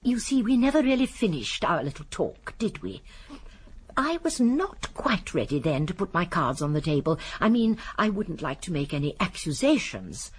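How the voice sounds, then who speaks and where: A genteel old English lady who solves crimes in a radio play. Her voice is very husky and swoops expressively. She is prim and courteous, rambling a little, yet shrewdly sure of herself. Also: fairly low pitch, sing-song, normal speed. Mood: confiding. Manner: prim, digressive, precise, gently assured. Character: shrewd, genteel elderly English lady amateur sleuth, politely modest. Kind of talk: BBC radio drama acting.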